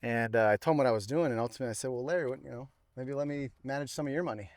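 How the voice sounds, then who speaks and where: A man's voice: mid-range voice, very fast, no room sound. A man in his thirties, a guest chatting confidently outdoors on a golf course about his career. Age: 30s. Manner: confident, animated storyteller. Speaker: guest, retirement plan executive. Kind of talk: casual chat on a golf course.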